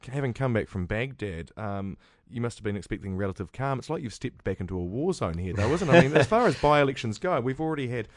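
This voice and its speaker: Fast-talking New radio co-host, dry close sound, rambling questions. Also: male voice, mid-range voice, expressive, no room sound. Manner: rambling, searching for words.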